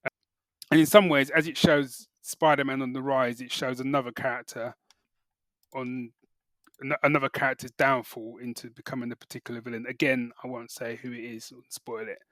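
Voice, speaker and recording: A man's voice: medium pitch, flat delivery, fairly quick, fairly bright tone, dry recording. A man co-hosting a podcast, giving casual, opinionated reviews in colloquial speech peppered with filler phrases. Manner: colloquial, opinionated, peppers talk with "you know" and "like". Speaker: podcast co-host.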